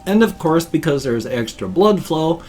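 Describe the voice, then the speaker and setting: A stuffed-up, slightly wheezy man in his fifties rambles through a home vlog. He talks in a loose, animated way, gesturing with both hands in a small cluttered room. Faint music sits underneath. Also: fairly high pitch, normal speed. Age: 50s. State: congested and wheezy. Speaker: vlogger. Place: small home room full of toys and collectibles. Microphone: webcam framing at desk.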